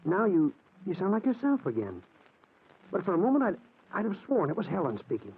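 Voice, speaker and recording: An actor playing a worried, devoted husband in a 1940s radio mystery, earnest and stammering. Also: male voice, sits high, huge pitch swings, a little fast, slightly rough, heavily acted. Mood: worried, tender. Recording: tinny old radio recording.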